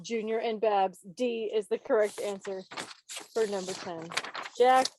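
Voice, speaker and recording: A woman's voice: medium pitch, a little slow, darkish tone, a little hiss or hum, low-quality recording. A woman hosting a trivia quiz on a video call in a playful, teasing way, with mock-dramatic asides. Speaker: quiz host at a club meeting.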